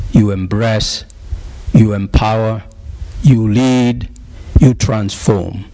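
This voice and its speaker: Male prayer leader, very slow delivery, fry in the voice. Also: lowish voice, darkish tone. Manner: slow, measured praying. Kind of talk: closing prayer.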